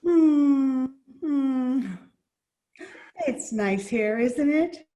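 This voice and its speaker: A woman playing a genteel former fiancée in 1940s radio comedy style, coy and gently chiding. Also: high-pitched, lively intonation, very slow, full character voice. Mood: hospitable.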